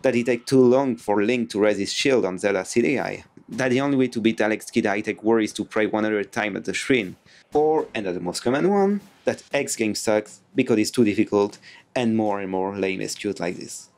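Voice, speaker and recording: French-accented man with lively pitch. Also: brisk. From France. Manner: sarcastic, animated delivery. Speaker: YouTube reviewer. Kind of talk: scripted video essay. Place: home room with bookshelves.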